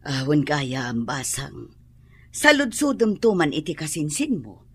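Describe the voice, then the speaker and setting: An actress playing a practical older landlady and mother in a radio drama, with a husky voice and an Ilocano accent. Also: deep voice, big pitch swings, measured pace, dry recording, exaggerated voice. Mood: matter-of-fact.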